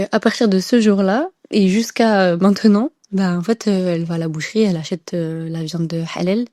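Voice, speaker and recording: A woman's voice: mid-range voice, dark tone, dry recording, studio quality. A young woman tells her story casually as a podcast guest, hesitantly and repeating words for emphasis. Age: young adult.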